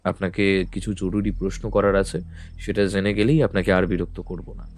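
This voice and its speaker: A man playing a calm, probing detective who questions people in a measured, firm way. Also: low-pitched, natural voice. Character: calm probing male detective, middle-aged. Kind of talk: audio drama acting. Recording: faint background music.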